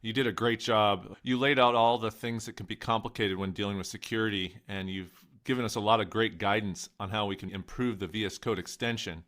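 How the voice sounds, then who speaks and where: A man's voice: lowish voice, steady tone, fairly quick, few pauses, a bit bright. A man co-hosting a tech podcast, upbeat and friendly as he introduces and questions a guest. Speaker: podcast co-host.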